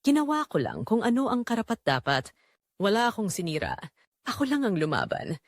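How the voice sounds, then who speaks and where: Woman playing two-faced female friend, soft, consoling, self-justifying, lively intonation, romantic audio drama. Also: medium pitch, a little fast, no room sound, clean studio sound. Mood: guilty, defiant. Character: outwardly caring woman friend, secretly triumphant and guilty.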